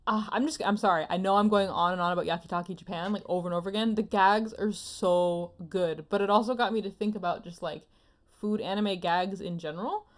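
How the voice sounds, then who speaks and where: A woman's voice: medium pitch, steady tone, fairly quick. A woman co-hosting a podcast, chatting casually in an effusive, emphatic, rambling way. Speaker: podcast co-host. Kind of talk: casual opinionated chat.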